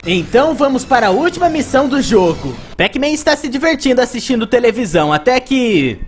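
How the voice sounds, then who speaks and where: A young Brazilian-accented man with a high voice narrates a video game. The style is casual storytelling, with music playing behind him. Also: very animated, a little fast. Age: young adult.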